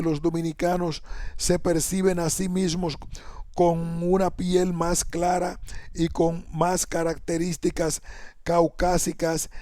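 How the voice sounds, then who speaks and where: A man reading an academic essay aloud in a measured, even delivery, sometimes correcting himself. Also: a little high, no room sound, clean studio sound. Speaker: essay reader.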